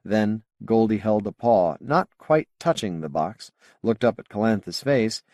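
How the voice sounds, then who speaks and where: A man reading a science fiction novel as an audiobook narrator, with measured, clearly phrased delivery. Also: fairly low pitch, animated, darkish tone, no echo, highs cut off.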